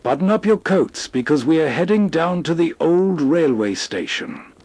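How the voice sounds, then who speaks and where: A man's voice: fairly high pitch, lively intonation, normal speed, noisy background. A man hosting with scripted, atmospheric storytelling narration. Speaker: host.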